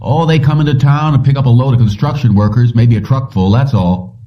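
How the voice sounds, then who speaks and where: A man's voice: mid-range voice, fairly quick, pitched above the performer's own voice, natural voice. A man voicing a wary, curt small-town cab driver with clipped, colloquial speech in an audiobook. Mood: guarded. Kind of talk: audiobook character dialogue. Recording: dull lo-fi, distant from mic.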